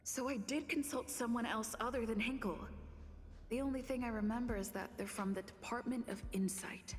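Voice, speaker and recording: A woman's voice: on the high side, normal speed, close to an everyday voice. Pensive young woman played by an actress, reverberant. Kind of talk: scripted game inner monologue. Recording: long reverb, music under the voice.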